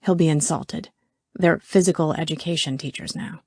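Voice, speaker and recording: A woman voicing a young man who murmurs wry gossip softly and intimately in an audiobook. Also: low-pitched, moderate pace, dry recording, studio quality. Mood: intimate, amused. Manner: hushed, whisper-soft, wry.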